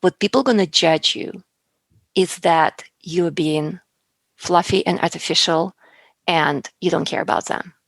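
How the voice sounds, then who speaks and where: A woman's voice: on the low side, fairly even intonation, unhurried, vocal fry, no echo. A woman speaking as a public speaking coach and guest in a conversational interview, earnest and emphatic, often repeating words for stress. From outside the US.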